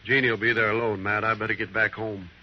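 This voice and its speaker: An actor playing a proud, fatalistic homesteader in an old-time radio western, speaking in a terse, stoic way. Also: male voice, on the low side, little pitch movement, quick pace, lightly acted. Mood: tense resolve. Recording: noisy dull old radio recording.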